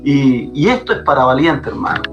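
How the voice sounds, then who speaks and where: A man speaks as a pastor, fervent and insistent, circling back to the same words to stress them. His voice sits at an ordinary pitch for a man. Guitar music plays clearly underneath the whole time. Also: big pitch swings, normal speed, highs cut off. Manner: fervent, repeats phrases for emphasis. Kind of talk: preaching guest in a podcast conversation. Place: Chile.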